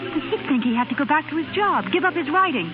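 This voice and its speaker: An actress playing a proud, hard-working young American wife, earnest and emotional, in 1930s radio drama style. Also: female voice, sits high, animated, fast talker. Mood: anxious, confiding. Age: young adult. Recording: muffled 1930s radio broadcast, music.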